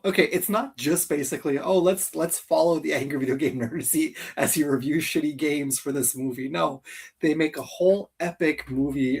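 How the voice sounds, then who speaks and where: A man's voice: a little high, breathy edge. A man co-hosting a livestream countdown, chatting in an informal, enthusiastic way without a script. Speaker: co-host of a video game countdown livestream. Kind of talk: casual unscripted chat.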